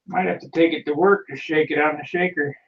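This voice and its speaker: A man with a somewhat high voice chats casually on a video call. He sits away from the microphone, so his voice comes through distant and dull, lo-fi. He talks as he handles his gear. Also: fairly even intonation, fairly quick, creaky, a little hiss or hum. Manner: chatty, fumbles with a can while talking. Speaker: hobbyist showing his paint.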